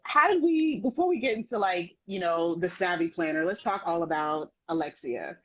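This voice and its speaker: A woman's voice: lowish voice, animated, husky, muffled recording. A woman hosting a podcast, asking questions in a playful, slangy, casual way. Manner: playful, slangy, quick interjections. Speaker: podcast host.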